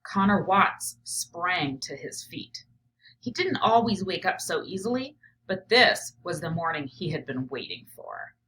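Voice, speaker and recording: A woman's voice: fairly low pitch, expressive, a little slow, poor audio. A middle-aged woman who teaches, reading a children's story aloud and reflecting on it in a thoughtful, think-aloud way. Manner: reflective, thinking out loud, often drops to a murmur while noting ideas. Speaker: teacher, professor.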